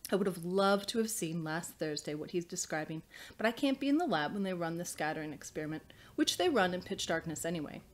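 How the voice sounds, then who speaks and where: A woman's voice: lowish voice, big pitch swings, fast talker. A woman in her thirties, an author reading and presenting her novel in a small study. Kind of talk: audiobook-style reading, scripted narration. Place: small indoor study with bookshelves.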